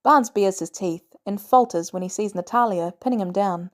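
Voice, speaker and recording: A woman reading fiction aloud as an audiobook narrator, in an expressive storytelling style. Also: mid-range voice, moderate pace. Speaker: audiobook reader.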